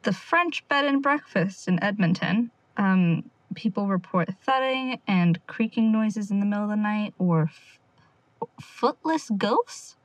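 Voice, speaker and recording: A woman acting as a wry young amateur sleuth in an audio drama, recording a private log. Her voice is medium in pitch and very dry and close, with a hushed, rambling, sardonic delivery. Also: expressive, a little slow, dry recording, close to an everyday voice, rough recording. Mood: wry, musing. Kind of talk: audio drama monologue, casual confiding log.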